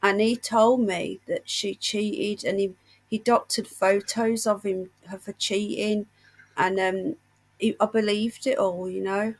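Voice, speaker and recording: A woman's voice: medium pitch, steady tone, unhurried, poor audio. A woman hosting a casual livestream, unwell with a painful chest, chatting in a gossipy, indignant storytelling manner. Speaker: livestream host.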